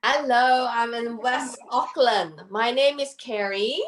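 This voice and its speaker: A woman's voice: a little high, slow, fairly bright tone, reverberant. A woman from Malaysia on a video call from her home kitchen, joking that she is a witch while demonstrating a kitchen machine. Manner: jokey, speaks as a witch with a cauldron. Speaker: Thermomix consultant. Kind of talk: playful witch-themed cooking demo.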